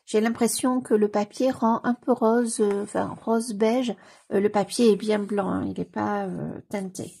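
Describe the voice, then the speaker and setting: Husky, gravelly woman with a cold. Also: medium pitch, fairly even intonation, measured pace, warm tone. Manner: gushing, repeats exclamations of delight, many hesitation fillers. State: has a cold. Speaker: coloring book YouTuber. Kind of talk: casual commentary while leafing through a book.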